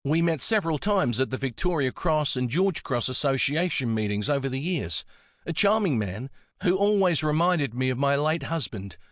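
Man putting on a soft, high, kindly old lady. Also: lively intonation, moderate pace, no echo, studio quality. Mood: fond, chatty. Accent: Antipodean. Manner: fond, coaxing, chatty. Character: kindly, affectionate elderly woman, brisk war veteran.

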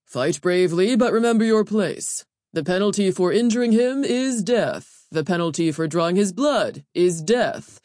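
A woman's voice: fairly low pitch, expressive, normal speed, darkish tone, dry recording, clean studio sound, close to an everyday voice. A woman playing a pompous male training overseer in an audiobook, declaiming in a grand, formal manner. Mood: stern, grand. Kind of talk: audiobook character voice.

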